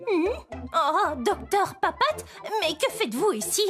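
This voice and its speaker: A woman's voice: very high-pitched, very animated, unhurried, fairly bright tone, faint music behind, heavily acted. A woman voicing a fussy, tidiness-obsessed female ant in a cartoon, scolding and exclaiming. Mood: surprised.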